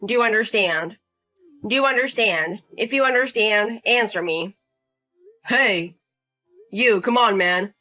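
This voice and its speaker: A woman reads the part of a condescending older brother-in-law in his thirties who scolds, demands and talks down to everyone. The bright voice sits at an ordinary pitch and keeps a sneering, bossy edge throughout the drama story. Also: unhurried, pauses often, soft background music. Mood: scolding. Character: entitled bullying older brother-in-law, man in his 30s.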